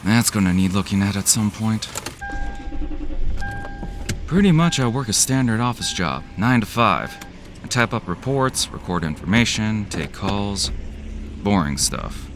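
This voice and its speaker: A man's voice: on the low side, lively intonation, measured pace, long pauses, noisy background. A man playing a jaded, cynical office worker who narrates an audio drama in a weary, sardonic, profane way.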